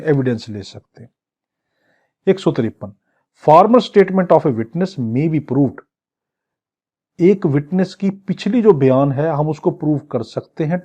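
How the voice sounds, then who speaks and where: Guy teaching law, drags out the english bits then rushes the explaining, kinda dull lo-fi sound. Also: fairly high pitch, mellow. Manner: reads English clauses slowly, then explains them quickly. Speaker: law lecturer. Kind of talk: lecture reading law text in English, explaining in Urdu.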